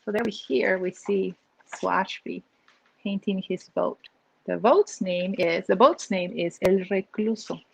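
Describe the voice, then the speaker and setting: Slow, expressive Peruvian woman reading aloud. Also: mid-range voice, vocal fry, slightly rough, dry recording, low-quality recording. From Peru. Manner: expressive storytelling with asides. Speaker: children's book author. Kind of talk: reading her picture book aloud.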